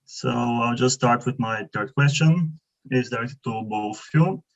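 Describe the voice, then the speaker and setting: A man's voice: medium pitch, measured pace. A young man from Bulgaria, not an English speaker, asking a question on a video call with many fillers as he searches for words. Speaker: webinar participant asking questions. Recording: muffled video call audio.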